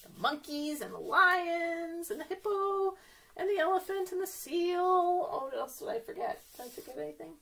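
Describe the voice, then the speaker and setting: A woman's voice: very high-pitched, animated, moderate pace, vocal fry, a bit bright, low-quality recording. A woman reading a picture book aloud for young children as a story time host, playfully exclaiming and asking her listeners questions.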